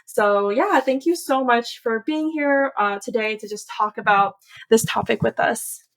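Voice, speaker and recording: A woman's voice: on the high side, a little slow. A woman co-hosting a podcast, asking guests to introduce themselves in a friendly, loose way. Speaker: podcast co-host. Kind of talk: casual podcast conversation.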